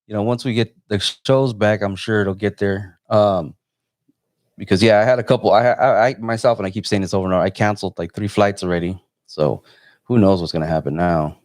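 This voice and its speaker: A man in his forties from San Jose, California, hosting a live phone interview in a relaxed, meandering way. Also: on the low side, fairly quick, no echo, studio quality. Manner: laid-back, meandering. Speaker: host.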